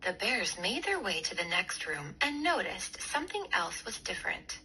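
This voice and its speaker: A woman storyteller reading a children's bedtime story aloud with expressive, clear phrasing. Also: medium pitch, normal speed, rough recording. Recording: clear background noise.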